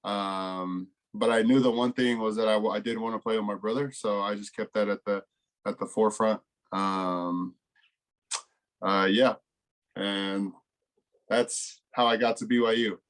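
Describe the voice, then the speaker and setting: A man in his twenties from California with an American accent, giving casual, laid-back interview answers with frequent pauses. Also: lowish voice, fairly even intonation. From California, United States. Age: 20s. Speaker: college football player, interview guest.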